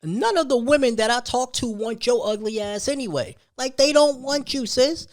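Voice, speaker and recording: A man's voice: very high voice, moderate pace. A man hosting a podcast, telling a personal story as a casual, slangy rant, leaning on repetition and rhetorical questions. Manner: emphatic, slangy, repeats phrases and rhetorical questions.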